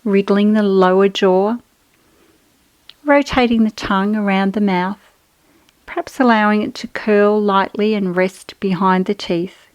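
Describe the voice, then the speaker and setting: A woman leads a guided yoga relaxation in a soothing, measured voice of medium pitch. She gives gentle instructions phrase by phrase, leaving pauses between them. The sound is close and dry, with very little room around it. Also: steady tone, smooth, no echo, low-quality recording.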